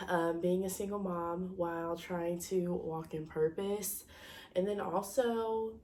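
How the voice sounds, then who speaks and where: A woman's voice: fairly low pitch, darkish tone, a little hiss or hum. A woman in her twenties talking casually to her viewers, a bit stuffy from a cold, rambling and often correcting herself as she searches for words. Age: 20s. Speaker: vlogger. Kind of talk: casual personal talk to camera.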